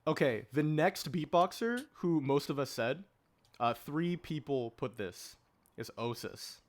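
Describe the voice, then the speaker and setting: A man's voice: a little high, expressive, a little slow. A man co-hosting a podcast, talking informally in a casual panel discussion and explaining his views. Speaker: podcast co-host.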